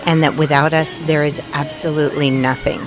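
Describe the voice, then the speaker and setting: A woman interviewee making an earnest, reflective statement in articulate, measured, emphatic phrases. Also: low-pitched, little pitch movement, low-quality recording. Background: prominent music.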